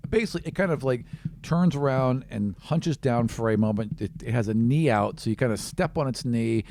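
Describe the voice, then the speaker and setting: A man's voice: medium pitch, a little fast, darkish tone, no echo. A man speaking as game master, narrating the action of a tabletop role-playing game.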